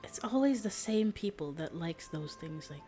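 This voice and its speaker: A woman's voice: lowish voice, lively intonation, normal speed. A woman streamer chatting casually in a rambling, hesitant, wry way during gameplay. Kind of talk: casual livestream chat over gameplay. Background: video game dialogue.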